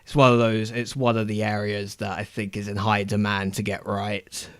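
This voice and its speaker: A man's voice: fairly low pitch, little pitch movement, moderate pace, clear, resonant tone, no room sound, clean studio sound. A man talking casually as a panelist in a fan discussion podcast.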